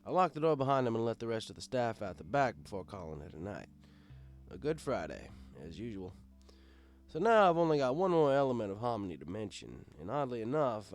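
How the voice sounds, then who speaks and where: Easygoing male storyteller over music. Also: medium pitch. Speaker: audiobook reader. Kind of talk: first-person story narration as a bartender.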